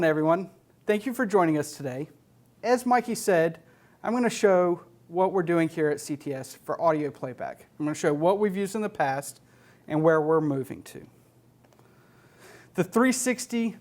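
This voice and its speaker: A man in his thirties with an American accent and a slightly high voice gives an instructional presentation. He is plain-spoken and matter-of-fact, explaining things step by step as a technician. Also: animated, moderate pace, darkish tone. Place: indoor studio stage with LED wall.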